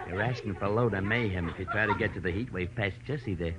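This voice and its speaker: An actor playing a chummy, furtive burlesque comic, slangy and confiding, in 1940s radio drama. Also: male voice, fairly low pitch, fast, noisy background, natural voice. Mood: sly, confiding. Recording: dull old radio, crowd behind.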